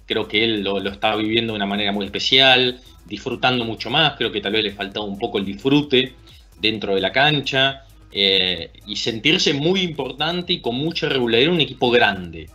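A man's voice: medium pitch, moderate pace, sharp tone, faint music behind. A man in his thirties with an Argentine accent, giving basketball analysis over a video call. He talks thoughtfully and often ends points with a tag question. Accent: Argentine Spanish. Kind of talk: casual sports discussion. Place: small room at home.

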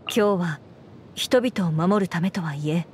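A woman voicing an earnest, dutiful young knight commander in a Japanese game, speaking in a formal, measured way. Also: on the low side, darkish tone, slight background noise, close to an everyday voice. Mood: regretful. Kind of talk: Japanese game character voice lines. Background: background music.

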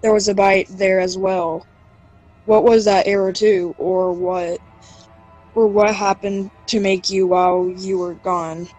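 An amateur actor playing an anxious, accusing restaurant worker in a horror audio drama, with halting, stilted delivery. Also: mid-range voice, little pitch movement, darkish tone, faint music behind, natural voice, poor audio. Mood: suspicious, pressing.

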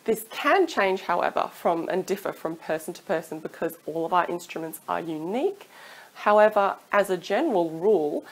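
A woman's voice: fairly low pitch, animated, moderate pace, slight background noise, low-quality recording. A woman in her thirties, a singing teacher giving chatty, encouraging advice to camera from a small room at home, laughing now and then. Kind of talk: casual advice vlog to camera. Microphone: studio mic off camera.

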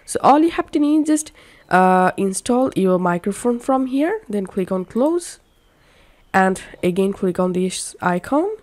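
A woman narrating a software tutorial, giving step-by-step instructions. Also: mid-range voice, expressive, measured pace, no echo.

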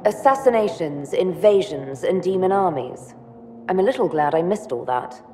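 An actress playing a composed young elf adventurer in a video game, dry and questioning. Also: female voice, lowish voice, animated, normal speed, dark tone, lightly acted. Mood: dry, wry. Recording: long hall reverb, faint noise.

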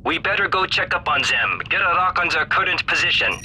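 A man's voice: fairly high pitch, animated, brisk, pushed and bright, a little hiss or hum, low-quality recording. An actor playing a commanding male squad leader giving orders over radio in a space game.

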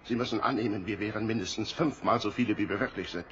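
An actor in a radio play playing a resolute, commanding leader of castaways who speaks in a measured way. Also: male voice, lowish voice, fairly bright tone, natural voice. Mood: determined. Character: resolute male leader of island castaways planning defence. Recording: dull recording with background noise.

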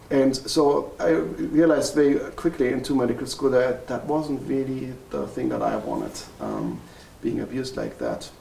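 German guy, 40s, family doc, gravelly voice, kinda far off in an echoey wood room. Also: medium pitch, dark tone. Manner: earnest, many ums and self-corrections. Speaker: family physician. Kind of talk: interview storytelling. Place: wood-paneled room indoors. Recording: roomy, slightly distant, faint hiss.

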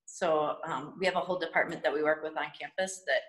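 A woman's voice: deep voice, fairly even intonation, fast. A woman in her forties giving a matter-of-fact, informal explanatory tour of a research facility, recorded on a phone. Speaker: research facility staff guide. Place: indoor tiled surgery and recovery rooms. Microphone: handheld phone a few feet away. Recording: echoey hard-walled room, phone video.

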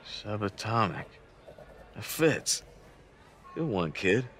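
An actor playing a gruff male shelter resident in a video game, curt and grumbling. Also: fairly low pitch, big pitch swings, takes it slowly, a bit bright, faint music behind, heavily acted. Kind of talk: acted video game dialogue.

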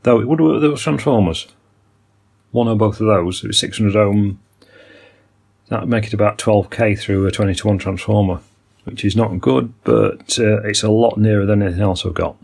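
Gravelly older man at a workbench. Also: lowish voice, moderate pace, a little mellow. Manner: musing, technical, many ums and uhs. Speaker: electronics repair hobbyist. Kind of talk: hands-on repair walkthrough. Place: workbench indoors.

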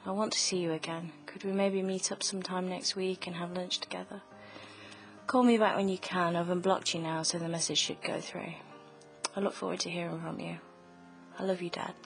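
A woman playing an estranged adult daughter in an audio drama, speaking gently and tentatively, as on a voicemail. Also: on the low side, flat delivery, brisk, gravelly. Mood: tentative, reconciling. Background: music.

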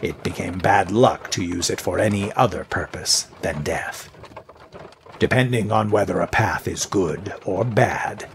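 A very low, gravelly voice from a male actor plays a stern guide in an audio drama. He speaks formally and deliberately, with a grave, dour air. There is faint noise behind him. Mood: ominous. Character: grave, stern man, knowledgeable guide of cursed roads.

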